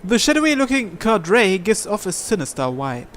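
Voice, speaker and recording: A person reading a visual novel aloud as a gamer, narration and dialogue alike, with game music behind. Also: female voice, medium pitch, very animated, normal speed, a little hiss or hum.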